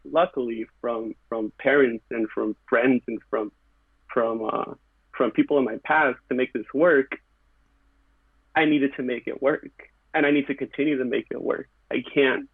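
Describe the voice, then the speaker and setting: A man's voice: mid-range voice, dry recording. A man talking earnestly and reflectively as a podcast guest, in short phrases with fillers. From California. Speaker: podcast guest, background painter. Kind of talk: casual conversation. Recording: tinny phone-like line, very dry.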